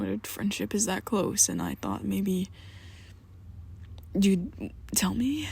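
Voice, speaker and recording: A woman in an ASMR roleplay, talking to the listener as a worried best friend, halting and rambling, often sinking into a soft whisper close to the mic. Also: medium pitch, gravelly, breathy edge. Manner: hesitant and rambling, halting restarts.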